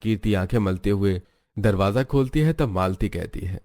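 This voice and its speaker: Calm male storyteller. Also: fairly low pitch, moderate pace. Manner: even, storytelling. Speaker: narrator. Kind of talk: scripted story narration.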